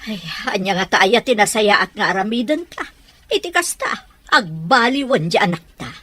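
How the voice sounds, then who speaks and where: A woman playing a worried middle-aged mother in a radio drama, fretful and quick to scold. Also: medium pitch, animated, a bit bright.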